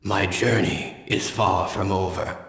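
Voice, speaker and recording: An actor playing an aging noble warrior in a video game, earnest and remorseful, speaking in a solemn, formal way. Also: male voice, sits low, steady tone, slow pace, gravelly, exaggerated voice. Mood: remorseful, resolute. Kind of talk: dramatic game voice acting. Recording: long reverb, loud music behind.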